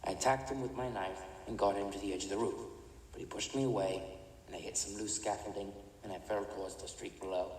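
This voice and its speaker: An actor in an audio drama playing a remorseless older criminal chemist, speaking in a measured, haughty, matter-of-fact way. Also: male voice, fairly low pitch, fairly even intonation, darkish tone, close to an everyday voice. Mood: cold, matter-of-fact. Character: cold, remorseless older male villain, an imprisoned mad chemist. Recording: echoey reverberant room, distant, faint noise.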